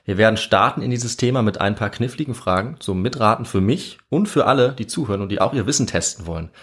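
Low-voiced podcast host, a man. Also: expressive, fast, fairly bright tone. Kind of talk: casual podcast chat.

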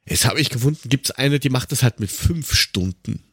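A man's voice: medium pitch, lively intonation, moderate pace, bright, forceful tone, husky, no room sound. A man with an Austrian accent and a mid-range voice talks casually on a podcast. He rambles and grumbles with sarcasm and coarse language. The sound is very dry, with no room to it. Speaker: podcast co-host.

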